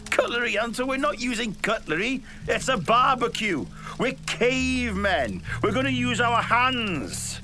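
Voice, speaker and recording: A man's voice: very high-pitched, very animated, unhurried, pushed and bright, heavily acted. An actor playing a cheerful, boisterous, teasing man at a backyard barbecue in an audio drama. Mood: boisterous, amused. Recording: music under the dialogue.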